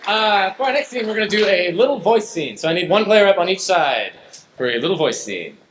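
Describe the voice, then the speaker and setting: A bright male voice with a slightly high pitch, echoing on a stage. He hosts an improv show casually, with halting delivery. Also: expressive. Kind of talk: casual live stage hosting. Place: live improv stage with audience. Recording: echoey stage with some noise.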